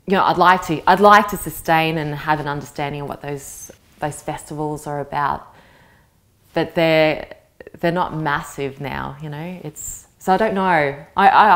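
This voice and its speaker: A woman in her thirties whose first language is Vietnamese, giving a thoughtful interview answer and often pausing to search for words. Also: low voice, a bit bright. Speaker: interviewee, actress. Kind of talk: reflective personal interview talk.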